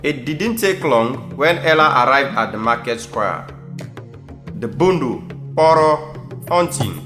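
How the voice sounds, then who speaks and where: A man with a Sierra Leonean accent narrating a scripted audio drama as a storyteller. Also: mid-range voice. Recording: roomy echo under prominent music.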